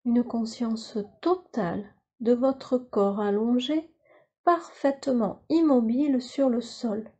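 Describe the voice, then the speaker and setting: Slow, soft-edged woman guiding relaxation. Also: a little high, husky. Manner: calm, soothing, instructional, with measured phrases. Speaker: yoga nidra teacher. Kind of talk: guided relaxation meditation.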